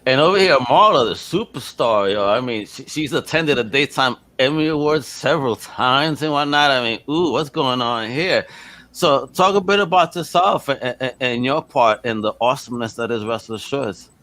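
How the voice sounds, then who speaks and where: A man hosting a podcast interview in a slangy, informal, animated way over a video call. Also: mid-range voice, moderate pace. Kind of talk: casual chat.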